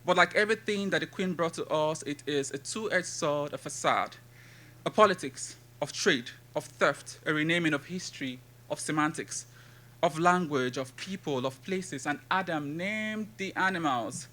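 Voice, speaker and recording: Bright-voiced Nigerian man reading poetry. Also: on the high side. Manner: measured, rhythmic recitation with listed phrases. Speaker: conference speaker and poet. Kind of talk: academic talk with poetry reading.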